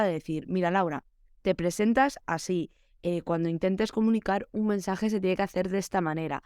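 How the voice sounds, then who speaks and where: Young woman chatting on her teacher podcast, smooth clear voice, dry close mic. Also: on the low side, darkish tone, no room sound. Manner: chatty, reflective, advice-giving. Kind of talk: solo podcast monologue.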